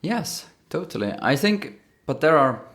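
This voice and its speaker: A man's voice: on the high side, sing-song, a little slow, fairly bright tone. A man with a Swiss German accent, a native Swiss German speaker co-hosting a casual podcast, thoughtful and often restarting his phrases. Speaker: podcast co-host.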